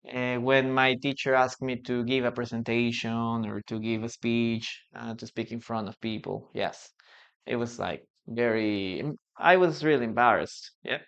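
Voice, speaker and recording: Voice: mid-range voice, clean tone, muffled recording. A native Spanish-speaking English teacher from Peru with a Peruvian accent, leading a live online class and patiently repeating key phrases.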